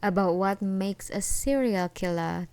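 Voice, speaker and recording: A woman playing an obsessed, unhinged ex-girlfriend in an ASMR roleplay, taunting and possessive in a sweetly menacing, giggly way with drawn-out words. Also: mid-range voice, a little mellow, smooth, no room sound, lightly acted. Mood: menacing, gloating. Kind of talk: ASMR roleplay acting, one side of a conversation.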